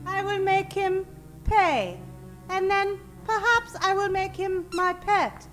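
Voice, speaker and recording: A woman's voice: very high-pitched, animated, fairly bright tone, faint music behind, heavily acted. A woman acting a vengeful, melodramatic villainess in an old-time radio play. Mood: vengeful, gloating. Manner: melodramatic, drawn-out menace. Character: scheming vengeful villainess, a jilted former sweetheart.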